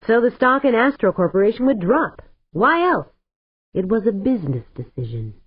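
A woman's voice: fairly high pitch, big pitch swings, moderate pace, slightly rough, no echo, pitched above the performer's own voice. A woman voicing a ruthless male tycoon who talks in a smug, dismissive way. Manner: sneering, dismissive. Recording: tinny phone-like sound.

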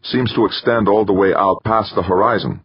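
A man's voice: lowish voice, moderate pace, slightly rough, no room sound, pitched above the performer's own voice. A man voicing a stranded space explorer, colloquial and matter-of-fact, in an audiobook with dull sound. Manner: colloquial, plain-spoken. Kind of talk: audiobook character dialogue.

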